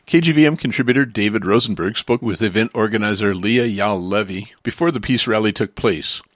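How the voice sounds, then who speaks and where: A man reading a scripted radio news introduction in a measured, formal way. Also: on the low side, no echo.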